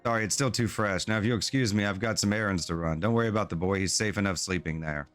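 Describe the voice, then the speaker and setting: A man voicing a tired, grieving middle-aged father, reading the lines slowly in a low voice. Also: fairly bright tone, natural voice. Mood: weary. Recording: faint music behind the voice.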